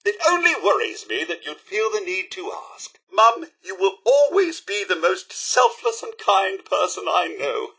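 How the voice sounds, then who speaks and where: An actor voicing a polite, fussy, devoted robot butler with a British accent and formal, theatrical delivery in a video game. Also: male voice, high-pitched, huge pitch swings, a little slow, creaky, few pauses, pushed and bright, slightly rough, exaggerated voice, rough recording. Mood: cheerful, proud, then tender, admiring. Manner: formal, theatrical, deferential, primly articulated. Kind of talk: scripted video game companion dialogue.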